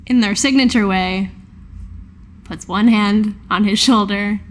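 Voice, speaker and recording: A woman's voice: medium pitch, takes it slowly. A woman on a tabletop game podcast, narrating her character's actions as a player.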